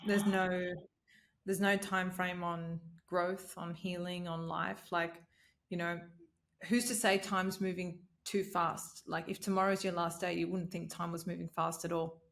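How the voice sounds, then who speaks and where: A woman in her late twenties speaks in a lowish voice with plenty of vocal fry. She is earnest and motivational, sharing reflections in a relaxed podcast chat. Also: unhurried. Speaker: podcast conversation partner. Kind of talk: casual, reflective podcast conversation.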